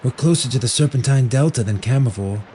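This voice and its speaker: A man's voice: medium pitch, quick pace, mellow, slight background noise, pitched above the performer's own voice, close to an everyday voice. A man voicing a blunt, practical sea captain in an audio drama. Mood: frustrated.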